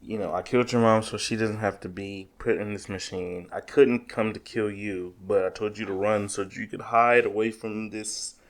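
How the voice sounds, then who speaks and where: Young man, lowish voice, joking, quick plot recaps, casual podcast. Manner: animated, joking, retells plots in a rush. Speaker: podcast co-host. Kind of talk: casual chat about games and movies.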